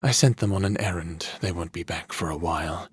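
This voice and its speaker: A man acts out a brooding lord of the underworld in a fantasy audio drama. He speaks low and very husky, in a gentle, weary, unhurried way, recorded close and dry. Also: no room sound. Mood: guarded.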